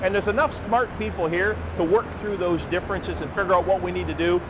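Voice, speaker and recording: High-voiced American man over clear plant noise. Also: fairly quick. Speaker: auto plant worker interviewed. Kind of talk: news interview soundbite.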